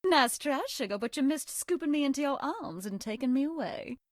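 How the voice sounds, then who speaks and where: Sweet, high-voiced Southern belle. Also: female voice, expressive, fairly quick, no room sound, clean studio sound. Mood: affectionate, flirty. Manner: coy, folksy, old-fashioned endearments. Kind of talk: dating game character voice acting.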